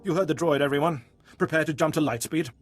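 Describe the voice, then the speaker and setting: An actor playing a gruff, pragmatic warship captain in a video game, firm and commanding. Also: male voice, fairly high pitch, fast talker, music in the background, natural voice. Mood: urgent, commanding.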